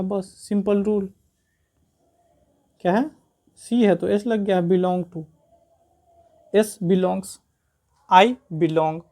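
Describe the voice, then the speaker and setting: A woman's voice: mid-range voice, dark tone. A woman with an Indian accent teaching grammar informally, explaining step by step with repetition and frequent check-in tags.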